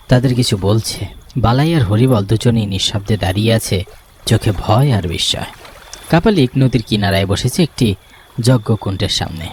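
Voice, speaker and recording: A man's voice: on the low side, background noise. A man narrating a scripted ghost story in a dramatic storytelling style. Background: background music.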